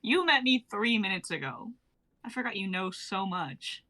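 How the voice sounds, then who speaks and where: A woman's voice: mid-range voice, lively intonation, moderate pace, sharp tone. A young woman playing a bubbly, teasing twenty-three-year-old wife from 1950 in a dramatic reading, breezy and old-fashioned in her phrasing. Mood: assured, teasing. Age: young adult.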